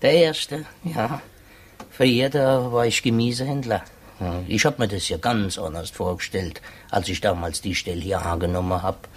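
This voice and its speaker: An actor playing a talkative, gullible middle-aged prison warder in a 1950s radio play, eagerly curious and chatty. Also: male voice, on the low side, normal speed, lightly acted. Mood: admiring.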